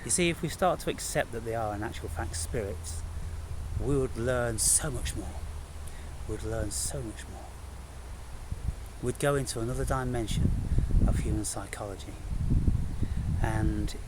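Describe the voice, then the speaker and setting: Male spiritual vlogger, unhurried and deliberate with emphatic pauses, recorded with noticeable ambient noise. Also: medium pitch. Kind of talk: unscripted spiritual monologue to camera.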